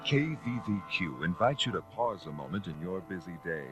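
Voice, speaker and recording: A man's voice: low voice, sing-song, moderate pace. A man playing a grandiose promo announcer, pompous and dramatic, introducing an all-knowing sage in a mock-solemn radio spot. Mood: grandly solemn. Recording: old radio promo under loud music. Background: loud music.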